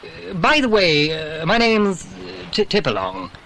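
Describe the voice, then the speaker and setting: An actor playing a flustered, bumbling, genteel British birdwatcher who stammers and fusses in a children's audio drama. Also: male voice, high voice, huge pitch swings, measured pace, vocal fry, bright, forceful tone, full character voice, rough recording. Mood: dithering, affable. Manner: stammering, hesitant, fussy.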